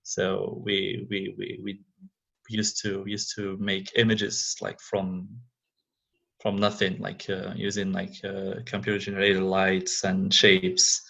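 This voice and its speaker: A man with a low, gravelly voice answers interview questions in English. He pauses often and hunts for the right words. He speaks over a remote call. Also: monotone, bright, forceful tone. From Algeria. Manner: halting, searches for English words. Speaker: guest. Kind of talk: casual interview.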